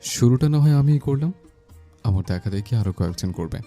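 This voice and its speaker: A man's voice: medium pitch, animated, a little fast, dark tone, soft background music, lightly acted. A man playing a polite, earnest young landlord's son in a romantic audio story, respectful and composed. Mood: earnest, resolute.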